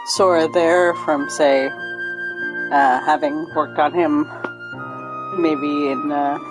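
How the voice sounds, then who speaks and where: A woman streaming a game live, explaining the story in a halting way as she thinks aloud. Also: sits low, fairly even intonation, rough recording. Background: game music.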